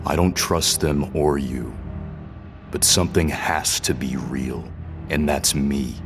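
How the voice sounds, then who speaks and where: An actor playing a defiant, disoriented prisoner in an audio drama, a very deep-voiced man who is guarded, halting and stubborn. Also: fairly even intonation, over music, heavily acted. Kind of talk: scripted audio drama dialogue.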